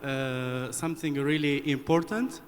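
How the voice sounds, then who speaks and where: A man from Morocco, a researcher speaking up from the audience at a conference, halting and earnest, repeating words for emphasis. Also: fairly high pitch, fairly even intonation. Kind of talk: impromptu speech from the floor. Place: conference hall. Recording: long hall reverb, faint room noise.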